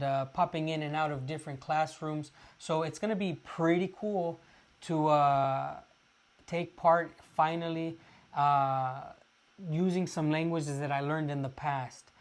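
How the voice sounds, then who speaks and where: A man from Los Angeles, chatting casually to viewers on a livestream and pausing often with fillers. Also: a little high. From Los Angeles, California. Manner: halting, restarts often with many "uh" fillers. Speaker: livestream host.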